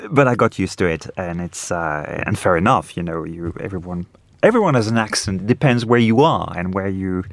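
A man's voice: low voice, lively intonation, brisk, gravelly, dry recording. A man from France with a French accent, a non-native speaker, talking as a radio panel guest and often restarting his sentences. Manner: hesitant, many restarts. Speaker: studio guest commentator.

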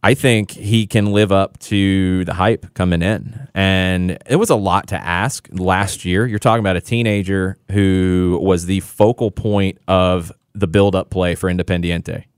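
A man's voice: deep voice, fairly even intonation, a little slow, few pauses, clear, resonant tone, no room sound, clean studio sound. A man analyzing soccer as a guest on a radio sports talk show, explaining tactics confidently.

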